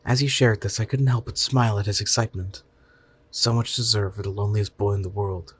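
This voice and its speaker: A man's voice: on the low side, steady tone, brisk, slightly rough. A man narrating a scripted short story in the first person.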